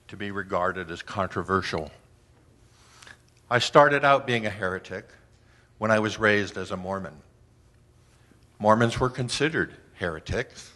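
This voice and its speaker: A man's voice: on the low side, fairly bright tone. An American man telling a first-person story as a prepared talk. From United States, Washington DC area.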